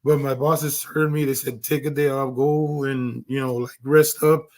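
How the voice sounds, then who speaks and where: A hoarse man losing his voice, talking earnestly on a stream with lots of restarts and fillers. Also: medium pitch, level tone, unhurried, darkish tone. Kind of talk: casual livestream monologue.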